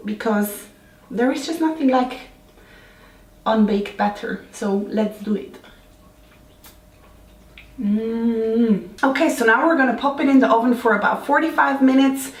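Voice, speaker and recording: A woman from Switzerland with a Swiss accent, chatting casually and playfully as the host of a home baking vlog. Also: mid-range voice. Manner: playful, informal, self-deprecating. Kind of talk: casual chat to camera. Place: indoors, home kitchen. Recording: roomy echo, distant mic, faint noise.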